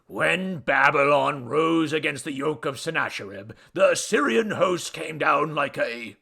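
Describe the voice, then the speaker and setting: A man voicing a pompous, irritable ancient Babylonian god in a story, with grandiose, formal declamation and a rusty, booming voice. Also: expressive, unhurried, gravelly, bright, forceful tone, slightly rough, heavily acted. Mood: grandiose, boastful. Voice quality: rusty booming foghorn bass.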